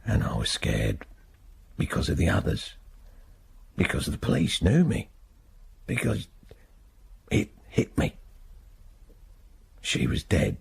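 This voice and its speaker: A haunted working-class English man in a radio drama. His voice is low and very rough, and it swings widely in pitch as he talks in a halting, plain-spoken way. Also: huge pitch swings, heavily acted. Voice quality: husky, gravelly. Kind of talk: naturalistic radio drama acting.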